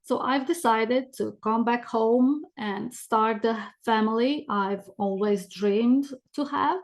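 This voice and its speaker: Middle aged lady, thick romanian accent, highish voice, telling her story in an interview. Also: slow pace. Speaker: podcast guest, business coach.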